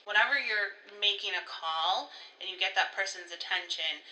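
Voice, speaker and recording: A woman's voice: mid-range voice, normal speed, pushed and bright, low-quality recording. A woman co-hosting a conversational staff training talk, explaining procedures step by step with examples. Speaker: co-host, school staff trainer.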